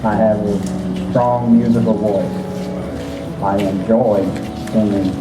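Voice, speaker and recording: An older man telling his own story slowly and plainly at an open mic. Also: lowish voice, steady tone. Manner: slow, plain-spoken. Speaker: storyslam speaker. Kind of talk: personal story. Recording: echoey room with loud noise.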